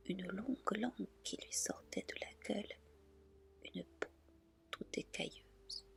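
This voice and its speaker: Woman doing asmr story reading, all whispered, really slow, bit crackly in the voice. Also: mid-range voice. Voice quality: breathy, soft whisper. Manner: gentle, careful storytelling. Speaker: ASMR storyteller, channel host.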